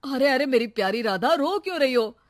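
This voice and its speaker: A woman voicing a gentle young husband in a cartoon who talks in a soothing, coaxing way. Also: sits high, lively intonation, fairly quick, no room sound, clean studio sound.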